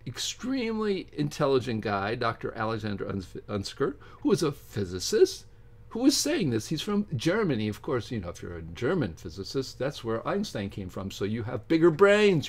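A middle-aged man with an American accent giving casual, opinionated vlog commentary, emphatic, reading aloud haltingly from a book. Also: mid-range voice, huge pitch swings, a little mellow. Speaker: science video host. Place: small home study with bookshelves.

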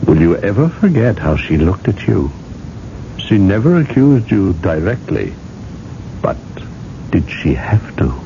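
A man acting in a 1980s radio drama as a stern, knowing interrogator who questions in a probing, deliberate way. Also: low-pitched, very animated, vocal fry, exaggerated voice. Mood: relentless, accusing. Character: stern, knowing male interrogator, calmly relentless judge. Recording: dull, noisy old radio recording.

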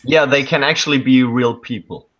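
A man's voice: mid-range voice, moderate pace, fairly bright tone. A man in his thirties from Germany speaking English with a German accent, a hesitant interview guest on a video call. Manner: hesitant, many ums and restarts. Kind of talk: casual interview chat. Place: dark room at night. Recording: dull lo-fi call audio.

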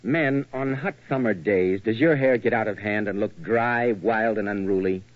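A man announcing in scripted 1940s radio style, reading like a sponsor's pitchman. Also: moderate pace, dry recording. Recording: tinny, dry old radio recording.

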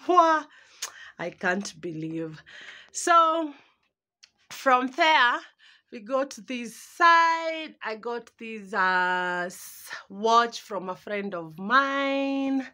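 A woman's voice: a little high, animated, drawn-out words, sharp tone. A woman in her twenties with a Kenyan accent chatting casually and animatedly for a home vlog, mixing in Swahili and searching for words. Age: 20s. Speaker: vlogger. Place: small room at home.

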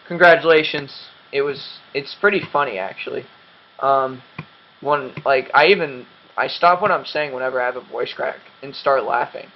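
A man's voice: mid-range voice, normal speed, highs cut off, a little hiss or hum. A young man hosting a game commentary, rambling and self-mocking with lots of ums, his voice dry and cracking. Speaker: gaming channel host.

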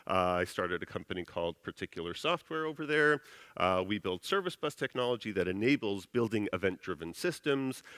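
A man's voice: lowish voice, bright, forceful tone, dry recording. A middle-aged man giving a casual, conversational conference keynote on a large stage, speaking into a headset mic with frequent "uh" fillers.

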